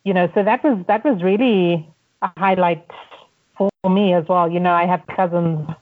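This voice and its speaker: A woman's voice: lowish voice, normal speed. Woman with a Zimbabwean accent on a tinny line. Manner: reflective, many restarts and fillers. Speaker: podcast guest. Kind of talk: casual interview conversation.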